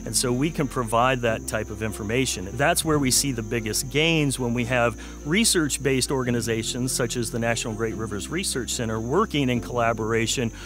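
A man giving a measured, formal explanation as a research spokesman in a documentary interview. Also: mid-range voice. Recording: music bed under voice.

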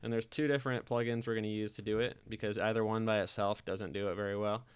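A man giving a casual software tutorial voice-over, explaining things informally step by step. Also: fairly low pitch, fairly even intonation, quick pace, clean tone. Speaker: tutorial presenter.

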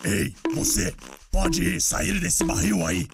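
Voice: mid-range voice, steady tone, takes it slowly, creaky. A performer voicing a bossy, stern masked guard in a children's cartoon, giving commands and announcements. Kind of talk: children's cartoon character dialogue. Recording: loud music behind.

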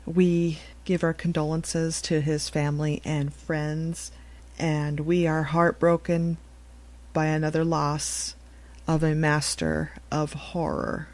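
A woman with a low voice delivers a solemn announcement as a podcast host. She speaks very slowly and evenly, halting now and then between phrases. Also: little pitch movement, a little mellow. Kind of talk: solemn news-style obituary announcement.

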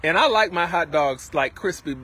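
A middle-aged man hosting a casual livestream as a farm stand vendor, with an enthusiastic, repetitive sales pitch. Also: expressive, brisk, pushed and bright. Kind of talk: casual promotional livestream chat. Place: outdoors at a tented farm stand by a street. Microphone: handheld phone, around arm's length. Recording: noisy outdoor street-side phone recording.

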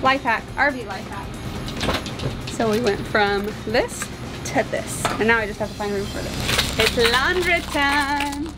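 A woman's voice: on the high side, a little slow, over loud music. A woman in her thirties from Indiana, chatting upbeat and jokey to a handheld camera inside a camper. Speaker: RV vlogger. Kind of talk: casual vlog chat to camera. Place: inside a travel trailer. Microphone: handheld camera close up.

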